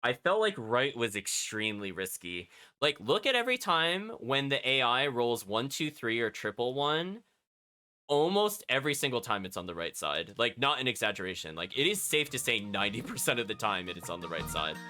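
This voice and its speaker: A man's voice: medium pitch, very animated, moderate pace, pushed and bright. A man giving casual live commentary as a video game streamer, thinking aloud and chatting to his viewers. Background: game music.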